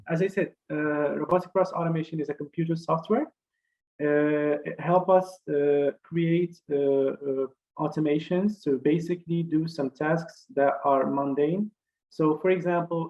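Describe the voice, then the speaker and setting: A man's voice: on the high side, a little mellow. Hesitant young man on a muffled call. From Morocco. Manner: halting, many uh fillers and restarts. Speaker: career fair speaker. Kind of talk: online career talk presentation.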